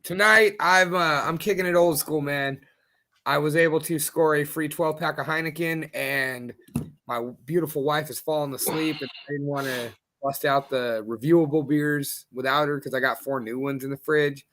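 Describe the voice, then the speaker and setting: A man's voice: on the high side, fairly even intonation, normal speed, sharp tone. A man co-hosting a casual podcast, bantering in a playful, wordy, mock-grand way. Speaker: podcast co-host.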